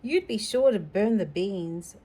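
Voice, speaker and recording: A woman reading the part of a busy, fussy father in a children's storybook, gently brushing his child off. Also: medium pitch, animated, measured pace. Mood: gently dismissive.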